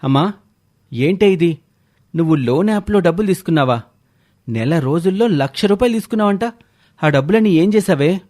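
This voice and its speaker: Casting: man playing exasperated grown son in a cartoon moral tale, fairly high voice, indignant questioning. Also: expressive, brisk, long pauses, a little mellow, natural voice. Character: exasperated adult son scolding his mother. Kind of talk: animated moral story dialogue.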